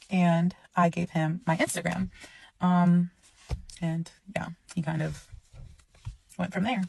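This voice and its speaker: A woman's voice: fairly low pitch, creaky, far from the mic, poor audio. A woman in her twenties telling a personal story in a casual, chatty way, with digressions and explanations. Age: 20s.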